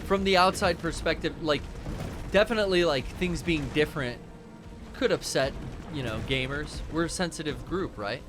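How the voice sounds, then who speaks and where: A man co-hosting a game stream in casual chat, animated and swearing freely as he plays. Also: on the high side, normal speed, music in the background. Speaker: stream co-host playing the game.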